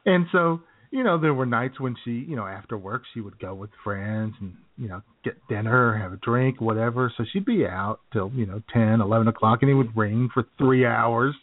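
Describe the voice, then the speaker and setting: A man's voice: mid-range voice, moderate pace, few pauses. Laid-back man on a tinny line. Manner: laid-back, laughing storyteller. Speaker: podcast co-host. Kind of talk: casual chat. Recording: tinny phone-like lo-fi audio.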